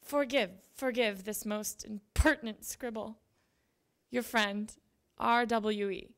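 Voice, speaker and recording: Creaky-voiced young woman reading aloud. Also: fairly high pitch, steady tone, takes it slowly. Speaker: reader at a literary event. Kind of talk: dramatic reading of historical letters. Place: library event room with seated audience. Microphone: handheld microphone at a lectern.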